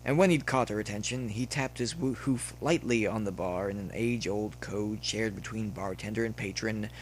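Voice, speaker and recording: A man reading a scripted story as narrator, in a measured, dramatic delivery that breaks into deliberate phrases. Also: fairly low pitch, low-quality recording. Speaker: audiobook-style narrator of fan fiction. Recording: noticeable background noise with hum.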